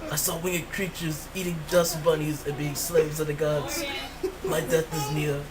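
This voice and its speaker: A man's voice: fairly high pitch, level tone, unhurried, over a noisy crowd, natural voice, low-quality recording. A teen boy as dying Enkidu. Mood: fearful. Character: dying young friend Enkidu, fearful.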